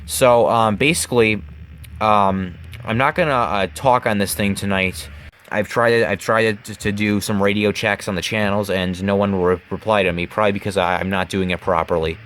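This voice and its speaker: A man giving a casual hands-on demonstration in a halting way, often repeating phrases, recorded at home on a handheld camera. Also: fairly low pitch, steady tone, a bit bright, slight background noise. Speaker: video maker showing a gadget. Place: carpeted room at home. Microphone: handheld camera mic.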